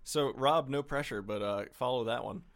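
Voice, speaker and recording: A man's voice: medium pitch, normal speed, fairly bright tone. A man moderating a casual interview as host, handing questions to guests in conversational speech.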